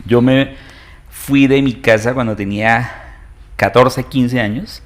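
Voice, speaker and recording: A man's voice: mid-range voice, fairly even intonation, slow pace. A Colombian man in his fifties, an entrepreneur and interview guest, telling his story hesitantly with many fillers. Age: 50s.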